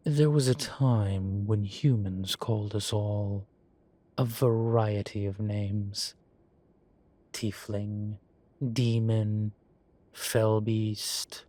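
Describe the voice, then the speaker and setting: A man playing a droll, proud, genteel demon professor who lectures a student in a scripted roleplay audio. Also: on the low side. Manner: unhurried, genteel, gently lecturing. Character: droll, proud, urbane male demon professor, centuries old. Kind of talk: scripted roleplay audio, one-sided conversation.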